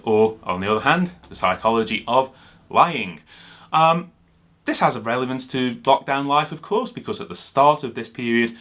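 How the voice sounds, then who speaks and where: A man hosting an online show from home, talking casually with many filler sounds and pauses. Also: on the low side, a bit bright. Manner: hesitant, lots of ums. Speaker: podcast host. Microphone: video call.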